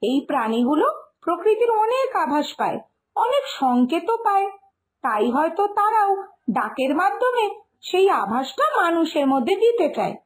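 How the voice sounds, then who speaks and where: A woman's voice: extremely high pitch, exaggerated voice. A woman voicing a sensible, outspoken young daughter in a cartoon moral tale, lecturing earnestly. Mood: explaining, earnest. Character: sensible, outspoken young daughter arguing patiently against superstition. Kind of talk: Bengali moral-story cartoon dialogue. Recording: music behind the voice.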